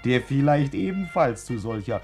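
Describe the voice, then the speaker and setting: Man as a sly radio narrator, medium pitch, mocking, theatrical 1940s style, faint music. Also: animated, normal speed, a little mellow, natural voice. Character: sly male storyteller, mocking his betters.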